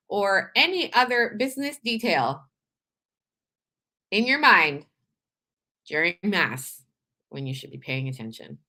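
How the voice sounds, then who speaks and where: A woman from Canada hosting a live show, talking in a chatty, animated way with frequent laughs and restarts, her voice a little tired from days of talking. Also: fairly high pitch. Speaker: podcast host. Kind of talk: casual live-stream monologue.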